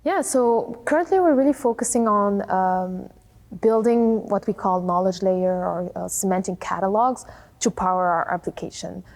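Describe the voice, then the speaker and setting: A woman's voice: medium pitch, normal speed, a little mellow. A woman speaking as an interview guest, a tech founder and scientist giving explanatory answers.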